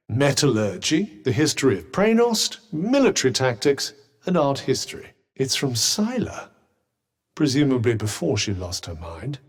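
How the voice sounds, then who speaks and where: An actor playing a wry, sardonic talking bracelet that serves as a game companion, with dry, teasing remarks. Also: male voice, fairly high pitch, big-room sound, exaggerated voice. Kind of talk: video game companion voice lines.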